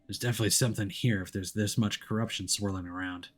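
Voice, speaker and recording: A man's voice: fairly low pitch, steady tone, fast, fairly bright tone, faint music behind. A man streaming a game, narrating its story in a dramatic, theatrical manner.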